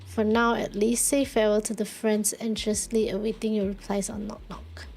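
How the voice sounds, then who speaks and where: A woman's voice: medium pitch, flat delivery, normal speed, gravelly, a little mellow, rough recording. A woman livestreaming a story game, reading the text aloud and reacting to it. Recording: game music behind the voice. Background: game music.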